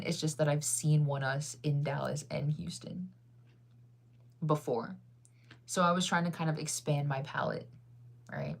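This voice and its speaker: A woman's voice: low voice, vocal fry, a little mellow. A woman chatting casually with her viewers, rambling through stories with lots of fillers and frequent laughter. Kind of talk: casual chat.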